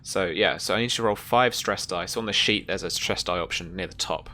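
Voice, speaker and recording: A man running a tabletop roleplaying game, narrating scenes and explaining rules in a halting, thinking-aloud way. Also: deep voice, bright, forceful tone. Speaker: game master. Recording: noticeable background noise.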